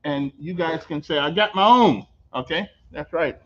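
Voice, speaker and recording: An older man hosting a casual on-location interview, telling stories in an animated way, repeating words and laughing as he goes. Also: a little high, moderate pace. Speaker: host. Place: on location at a veterans center. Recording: dull lo-fi recording.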